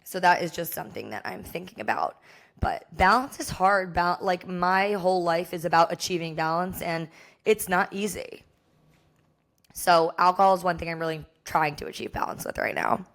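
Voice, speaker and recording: A young American woman with a hoarse voice, talking candidly and casually as the solo host of a podcast. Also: lowish voice, moderate pace, fairly bright tone. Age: young adult. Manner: chatty, candid, frequent "like".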